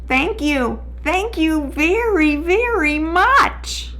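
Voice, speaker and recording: A woman's voice: very high-pitched, animated, drawn-out words, bright, forceful tone, voice pushed up, heavily acted. A woman reading a storybook, voicing an eager male lemur with very polite, emphatic, pleading courtesy. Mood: grateful.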